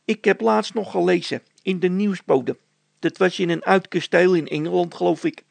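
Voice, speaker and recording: An excitable, doubtful labourer from a storybook, done by a male reader. He exclaims and grumbles in a raised, creaky voice with a husky edge. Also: sits high, moderate pace, no echo, voice pushed up, full character voice. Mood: excited, bossy. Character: excitable, doubtful workman, slow to catch on.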